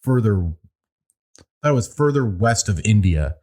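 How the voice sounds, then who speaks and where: A man's voice: fairly low pitch, very animated, unhurried, a little mellow. A man co-hosting a podcast, recapping a news article in a laid-back, casual way, with some swearing and frequent laughter. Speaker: podcast co-host. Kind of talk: casual chat, reading news.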